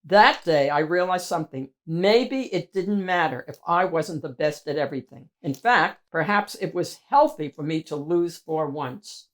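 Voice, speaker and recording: A woman's voice: low-pitched, lively intonation, moderate pace, hardly any pauses, voice pushed down. A woman voicing a proud, dramatic male wheel of cheddar in a picture book story, savouring key words theatrically. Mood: calm, reflective.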